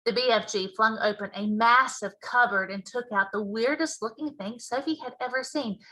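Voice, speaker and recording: A woman's voice: fairly high pitch, pushed and bright, poor audio. A woman narrating a children's story expressively, like a teacher reading aloud.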